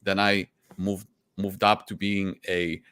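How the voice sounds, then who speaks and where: A man's voice: sits low, level tone, slow pace, pushed and bright, smooth, dry recording, clean studio sound. A man, a guest candidly telling his story in an interview and often restarting his sentences. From Poland. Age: 40s. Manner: candid and reflective, with frequent restarts. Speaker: guest, stock investor.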